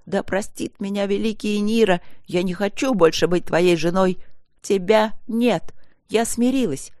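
A woman's voice: mid-range voice, moderate pace, creaky, dry recording, clean studio sound, voice pushed up, lightly acted. A woman voicing a furious, tearful middle-aged wife in an audiobook, wailing and exclaiming her accusations. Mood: tearful, accusing.

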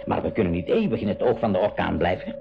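A man's voice: low-pitched, sing-song, fast talker, gravelly, music in the background, full character voice. A man playing a worried, cautious older uncle, an inventor and pilot, in a school radio drama. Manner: cautious, fretful, explanatory. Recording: dull, noisy old radio-play recording.

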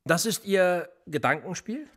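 A cool, manipulative police interrogator in a radio play. His voice is a little husky, and his pitch swings widely as he pushes a suspect with probing questions. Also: expressive, measured pace, full character voice. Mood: pressing. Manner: probing and insistent, pressing with pointed questions. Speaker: police officer.

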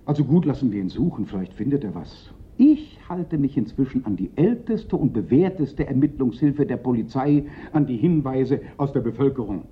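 An actor playing a fretful, self-pitying police prefect of Paris in a German radio play, theatrical and given to panicky lament. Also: male voice, medium pitch, expressive, moderate pace, hardly any pauses, natural voice. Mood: dismissive, grumbling. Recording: dull, lo-fi radio play recording.

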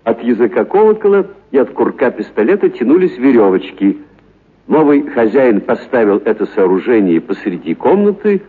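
A man narrating a literary story for radio in an expressive, dramatic actor's delivery. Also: mid-range voice, slightly rough. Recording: muffled narrow-band radio recording.

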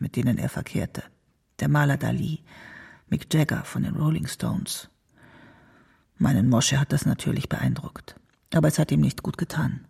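A man's voice: on the high side, gravelly, warm tone, slightly rough, heavily acted. An actor playing a nostalgic man who recalls a troubled friend in a reflective, conversational radio monologue, caring but disapproving. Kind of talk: radio documentary drama monologue.

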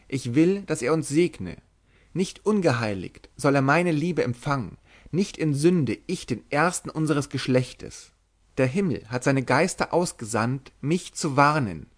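A young noble lady of a gothic storybook, voiced by a male narrator, anxious and devout. The voice is lifted in pitch and pleads fervently, with a tremble of fear in the formal, literary phrasing. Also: animated, unhurried. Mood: fervent, troubled. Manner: stammering, fearful, fervent pleading. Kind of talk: dramatic literary audiobook reading.

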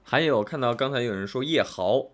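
A man's voice: medium pitch, expressive, brisk, a bit bright. A man hosting a fan quiz livestream in a casual, chatty style, putting questions and checking answers.

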